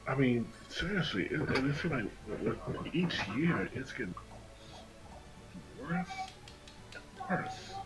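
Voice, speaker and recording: A man chatting casually and earnestly, repeating words haltingly as he makes his point with emphasis. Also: mid-range voice, sing-song, over music, low-quality recording. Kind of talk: casual chat.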